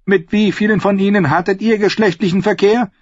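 A man's voice: high-pitched, fairly even intonation, fairly quick, no room sound, highs cut off, pitched above the performer's own voice. A man voicing a cruel, scheming queen in an audiobook, commanding and falsely sweet.

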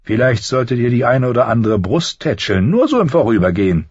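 A man's voice: medium pitch, sing-song, fairly quick, darkish tone, breathy edge, pitched above the performer's own voice. A man voicing a sly, smug small lord with a teasing, theatrical manner in an audiobook. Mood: mocking. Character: sly mocking small lord with pointed goatee, brothel owner. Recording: dull, roomy audiobook recording.

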